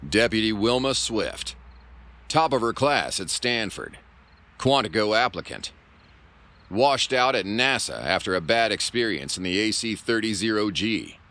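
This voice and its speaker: Man as a deep gravelly pulp-serial narrator. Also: animated, measured pace, pushed and bright, heavily acted. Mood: wry. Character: melodramatic hardboiled pulp serial narrator, grave and mock-heroic. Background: guitar music.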